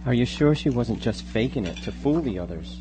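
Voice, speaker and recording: Guy playing a worried teen boy in a radio play, doubting and pleading, medium pitch, humming noisy audio. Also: expressive, brisk, natural voice. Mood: suspicious. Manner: pleading, questioning, fretful. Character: anxious, doubting teenage boy resisting a sinister ritual. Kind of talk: live amateur radio drama acting.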